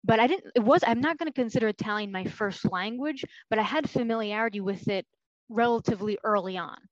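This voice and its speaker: A woman's voice: a little high, fast talker, no echo, muffled recording. A woman on a podcast, explaining her thoughts carefully in a casual chat.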